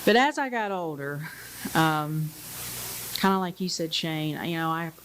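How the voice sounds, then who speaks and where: A woman's voice: low-pitched, measured pace, fairly bright tone, a little hiss or hum, poor audio. A woman, sharing her personal story at a church service in a conversational way with light chuckles. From Bristol, Tennessee area. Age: 60s. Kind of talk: personal testimony. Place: church sanctuary. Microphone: pulpit microphone.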